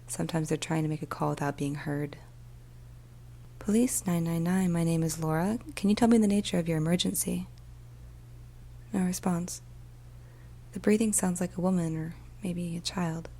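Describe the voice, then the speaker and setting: A woman voicing a weary, troubled police emergency dispatcher in a soft, often whispered ASMR storytelling monologue, speaking slowly and deliberately. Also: lowish voice, steady tone. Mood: hushed, tense, then calm, reassuring. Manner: slow, hushed, deliberate, with pauses. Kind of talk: ASMR storytelling, first-person dramatic monologue.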